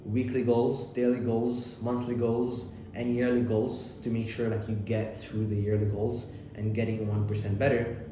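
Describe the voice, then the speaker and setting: A young man from Lebanon whose first language is Arabic, giving casual self-improvement advice to the camera, talking fast with many restarts and fillers. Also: medium pitch, little pitch movement, mellow. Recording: very echoey room with clear background noise.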